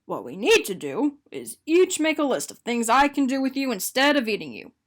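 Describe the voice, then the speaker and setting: A fussy but kindly storybook man, acted by a woman, pitched high with plenty of movement in the melody. He is polite and matter-of-fact, like a proper gentleman explaining himself. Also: very animated, moderate pace. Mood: reasonable. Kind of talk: animated picture-book character reading.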